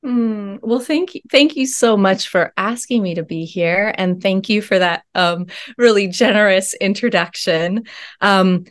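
A woman talking as an interview guest in a thoughtful, earnest way with many pauses and ums. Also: mid-range voice. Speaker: podcast guest. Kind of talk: reflective interview talk.

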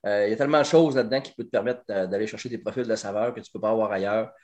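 A man in his forties with a Québécois French accent, a guest talking about his barbecue company in a casual interview, fluent and lively with plenty of hesitations. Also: fairly low pitch, racing. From Quebec. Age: 40s. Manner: animated, fluent, frequent "euh" and hesitations.

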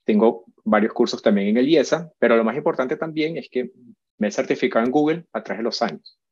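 A chatty man in his forties speaks as a guest in an interview. He often checks in with a quick question and restarts his phrases. The sound is dull and lo-fi, with a dry, close feel. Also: mid-range voice, darkish tone, breathy edge, no room sound. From Venezuela. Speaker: guest expert. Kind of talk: casual interview talk.

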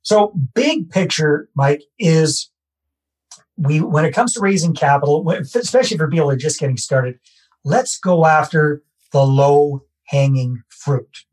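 A Canadian real estate investor speaks in a husky, slightly raised voice. He talks casually and pokes fun at himself, and he comes back to the same key phrases as he lays out his method for a podcast host. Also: a little high, lively intonation, unhurried, darkish tone, low-quality recording. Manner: conversational, self-deprecating, repeats key phrases. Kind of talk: podcast interview, explaining a process.

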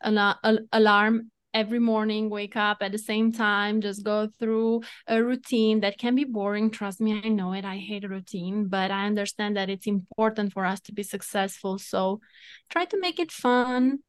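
A woman's voice: mid-range voice, steady tone, moderate pace, smooth. A woman with a Romanian accent, a podcast guest, giving advice thoughtfully and laughing at herself now and then. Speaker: guest, entrepreneur and coauthor. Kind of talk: casual podcast conversation.

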